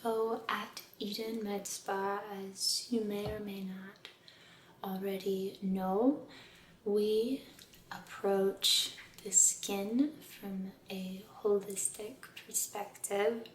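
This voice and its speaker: Soft breathy woman doing asmr spa roleplay, super slow and gentle, roomy echo w faint noise. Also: medium pitch, steady tone. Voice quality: soft, breathy, often near whisper. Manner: gentle, soothing, unhurried, careful. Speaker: ASMR performer playing a med spa aesthetician. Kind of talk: soft-spoken ASMR personal attention role-play.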